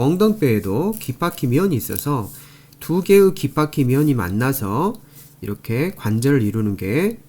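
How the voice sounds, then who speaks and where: Male anatomy lecturer walking through slides, repetitive, explanatory, pitch moves a lot. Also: a little mellow. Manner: explanatory, repeats terms, frequent fillers and pointing phrases. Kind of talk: recorded lecture over slides.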